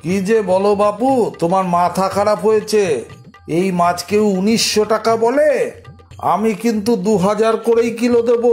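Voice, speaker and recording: A man voicing a haughty, impatient rich customer in a children's cartoon, pompous and condescending. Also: high-pitched. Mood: smug.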